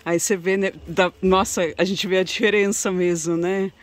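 A Brazilian woman with a mid-pitched voice talks casually to her viewers while filming in a shop. She is chatty and admiring, and often checks in with short tag questions. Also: level tone, moderate pace. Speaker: vlogger showing shop goods to viewers. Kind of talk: casual shopping vlog chat. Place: inside a cluttered home textiles shop.

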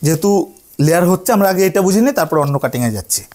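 A man teaches hairdressing in a high voice. His intonation moves widely up and down as he instructs trainees. He repeats himself often and keeps asking whether they have understood. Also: lively intonation, racing, darkish tone. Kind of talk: hands-on tutorial to trainees.

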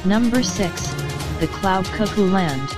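A woman's voice: mid-range voice, expressive, takes it slowly. A woman announcing scripted countdown headings for a video. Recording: loud music over the voice. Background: loud background music.

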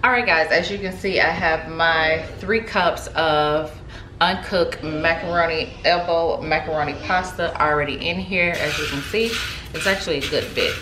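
A woman in her thirties casually talking through a recipe in her home kitchen, chatty and relaxed, with lots of ums and likes. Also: low voice, moderate pace. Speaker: home cook vlogger. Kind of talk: casual cooking demonstration. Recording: echoey kitchen with background noise. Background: TV playing in the background.

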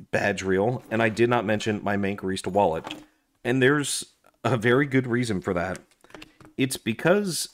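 A man who is hoarse and losing his voice, chatting casually with lots of fillers while he reviews his gear at a desk indoors. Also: fairly low pitch. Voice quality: raspy. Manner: chatty, rambling, with frequent fillers and restarts. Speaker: gear review channel host. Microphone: overhead camera on desk, hands only.

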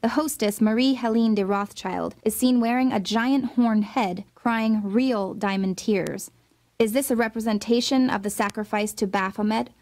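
A woman's voice: fairly high pitch, a little mellow. A woman reading a scripted voice-over narration in a dramatic, insinuating way.

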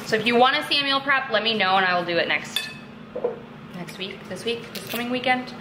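A woman's voice: fairly high pitch, a little fast, background noise. A young woman vlogging at home, chatting casually and rambling with lots of "like" and "um", filmed by a camera on a tripod. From western New York. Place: kitchen and bedroom at home.

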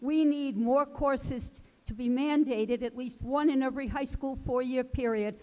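High-voiced older woman at the audience mic. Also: fairly even intonation, a little mellow. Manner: deliberate, pleading, slow emphasis. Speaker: audience member. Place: large wood-paneled hall, indoors. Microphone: handheld mic. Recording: dull sound in an echoey hall.

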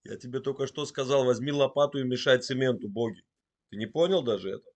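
A middle-aged man at a middle pitch talks over a webcam from a room at home. He stays calm and teasing, needling the stranger with dry questions as the host of the chat. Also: fast. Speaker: video chat show host. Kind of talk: provoking chat-roulette talk. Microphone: webcam on a video call.

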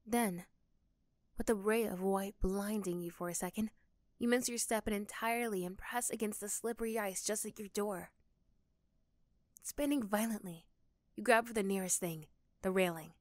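A woman's voice: mid-range voice, normal speed, pauses often, darkish tone, no room sound, clean studio sound. A woman reading scripted second-person story narration for an ASMR roleplay.

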